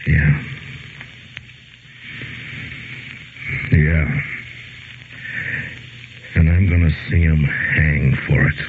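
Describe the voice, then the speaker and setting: An actor playing a steady, grave frontier lawman in a 1950s radio western. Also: very deep voice, slow. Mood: somber, subdued. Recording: muffled, tinny 1950s radio recording with hiss.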